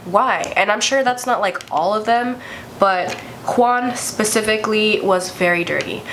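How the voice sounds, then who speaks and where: A woman's voice: medium pitch, a little slow, fairly bright tone, slight background noise. A young woman vlogger with a congested, stuffy voice, chatting candidly and swearing freely as she tells a story. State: congested from a cold. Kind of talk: casual storytime vlog.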